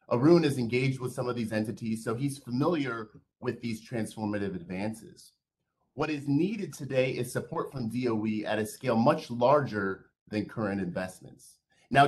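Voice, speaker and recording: A man, a board member, giving measured, earnest prepared remarks over a video call. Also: medium pitch, slight background noise.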